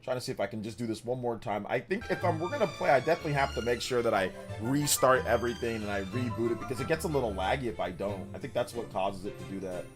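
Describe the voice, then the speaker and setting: A man in his fifties chats loosely through a game stream, his pitch rising and falling a lot as he talks. He rambles, corrects himself and laughs often. Faint music plays underneath. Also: animated, fast talker, hardly any pauses. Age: 50s. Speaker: livestream host. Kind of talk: casual gaming livestream chat.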